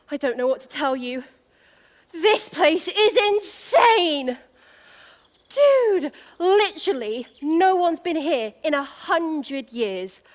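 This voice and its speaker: A brash young pantomime prince, played by a woman, who talks like a hyped-up vlogger. The voice is high and swoops widely, stretching words out slowly for effect. The slang is laid on thick, with dim, cocky excitement. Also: full character voice. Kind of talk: theatrical pantomime stage acting.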